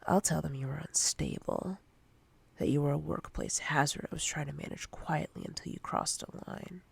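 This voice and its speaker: A woman playing a domineering, menacing corporate boss in a breathy roleplay ASMR whisper, commanding and condescending in a deliberate way. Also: deep voice, fairly even intonation, exaggerated voice. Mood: menacing, controlling.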